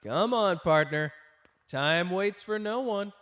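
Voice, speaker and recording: A man's voice: sits high, expressive, slow pace, fairly bright tone, no echo, clean studio sound, full character voice. A man playing a cocky, tender young male sorcerer in an intimate ASMR romance roleplay, with a playful, teasing, casual manner.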